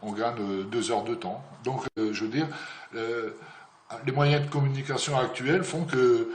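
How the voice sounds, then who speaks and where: An older man answering interview questions casually, hesitant and full of pauses. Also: mid-range voice, fairly even intonation, reverberant, heavy background noise. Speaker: retiree being interviewed.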